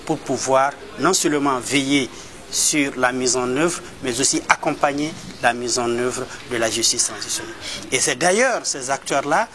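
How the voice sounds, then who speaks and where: Bright-voiced man explaining with hesitations. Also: mid-range voice. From Mali. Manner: measured, with hesitations and repeated words. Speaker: human rights advocate. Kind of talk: explanatory interview answer.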